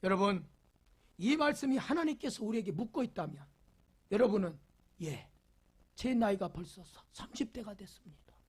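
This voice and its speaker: A male pastor preaching a Sunday sermon, leading the congregation in reading and repeating rhetorical questions, swinging from loud emphasis to near whispers. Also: sits high, animated, normal speed, pauses often, husky. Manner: leads the congregation in call-and-response reading.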